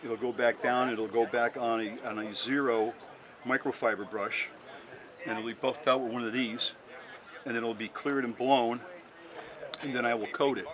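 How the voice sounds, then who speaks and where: Matter-of-fact man amid a loud crowd. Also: mid-range voice, fairly even intonation, normal speed. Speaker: interviewee, hobbyist radio restorer. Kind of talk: casual technical explanation in an interview. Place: outdoors at a busy swap meet.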